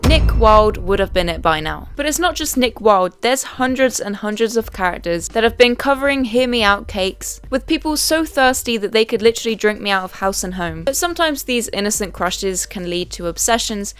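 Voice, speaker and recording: A woman's voice: medium pitch, lively intonation, moderate pace, hardly any pauses, a bit bright. A young adult woman hosting a video essay, reading scripted commentary with wry, conversational asides.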